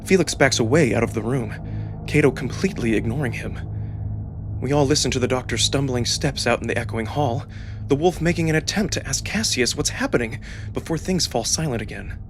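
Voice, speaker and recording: A man with a husky voice reading first-person fiction aloud as a dramatic narrator. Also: medium pitch, fairly quick. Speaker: narrator and performer of a visual novel reading. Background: background music.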